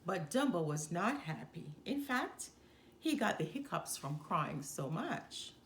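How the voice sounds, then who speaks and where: A woman reading a children's story aloud as a storyteller, with expressive, deliberate delivery. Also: on the low side, slight background noise. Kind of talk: expressive read-aloud narration.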